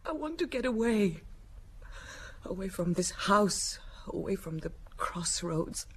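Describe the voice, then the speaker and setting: Casting: an anxious, delicate young woman, breathy and faltering, very mobile mid-range voice, radio play with slight noise behind. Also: medium pitch, very animated, slow, fairly bright tone. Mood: frightened, wistful. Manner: hesitant, breathy, overwrought. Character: anxious, fragile young sister of a missing man. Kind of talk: radio drama acting.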